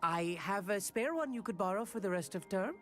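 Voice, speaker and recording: Animated, eager schoolboy. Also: male voice, high-pitched, a little fast, faint music behind. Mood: eager, proud. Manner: chatty, enthusiastic, slightly boastful. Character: eager, friendly schoolboy astronomy buff. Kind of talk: video game cutscene acting.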